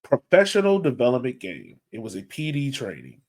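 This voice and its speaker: A man's voice: medium pitch, wildly swooping pitch, a little fast, a little mellow. A man speaking in an informal, colloquial way as he co-hosts a casual sports podcast. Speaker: podcast co-host.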